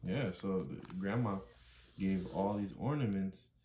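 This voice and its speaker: A man with a very low voice chats casually, prompting a child reader. The recording is echoey and heavily noisy, with clicks. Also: unhurried. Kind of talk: casual chat.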